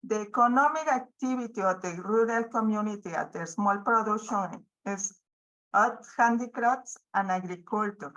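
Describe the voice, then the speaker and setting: A woman from Oaxaca with a Mexican accent, Zapotec her first language, giving a careful, halting prepared talk as an award winner over a video call. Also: medium pitch, a bit bright, rough recording. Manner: careful, halting reading with restarts. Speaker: award winner, research professor. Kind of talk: prepared presentation.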